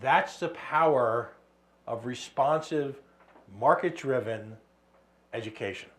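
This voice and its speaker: A man's voice: mid-range voice, slow pace. A middle-aged man hosting a radio talk show, telling anecdotes with emphasis.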